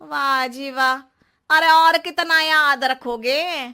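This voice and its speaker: A woman's voice: high-pitched, fairly even intonation, slow pace, exaggerated voice. A woman voicing a sarcastic, nagging young Indian housewife in a cartoon comedy, scolding and exclaiming. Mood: sarcastic, annoyed. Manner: scolding, exclaiming, sharp-tongued. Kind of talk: Hindi cartoon comedy acting.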